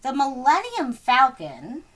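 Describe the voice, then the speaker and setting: Expressive woman reading a story, lively pitch. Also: takes it slowly. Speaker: storyteller reading a children's book aloud. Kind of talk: expressive scripted read-aloud narration.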